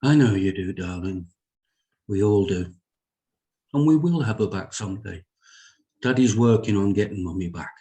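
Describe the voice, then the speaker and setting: A man playing a gentle, intelligent doctor and father in a dramatic script reading, speaking in a soothing, reassuring manner. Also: fairly low pitch, normal speed, long pauses, lightly acted. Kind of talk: dramatic script table read.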